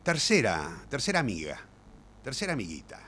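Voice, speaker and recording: A man's voice: mid-range voice, wildly swooping pitch, normal speed, fairly bright tone, slight background noise. An older man with an Argentine Rioplatense accent gives an informal lecture, speaking colloquially like a storyteller and repeating words for emphasis. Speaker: playwright and lecturer.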